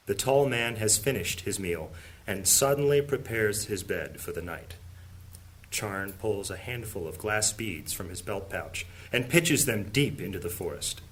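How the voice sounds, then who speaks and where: A man's voice: fairly low pitch, fairly bright tone. A man performing grave, theatrical narration as the storyteller of a fantasy audio drama. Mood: suspenseful. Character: solemn male fantasy storyteller. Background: music.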